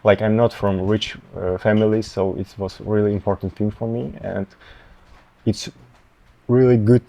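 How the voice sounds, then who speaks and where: A young man in his twenties with a Russian accent, a medical student answering interview questions haltingly, often pausing to find words. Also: fairly low pitch, little pitch movement, creaky, warm tone. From Tatarstan, Russia. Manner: halting, searching for words, many fillers.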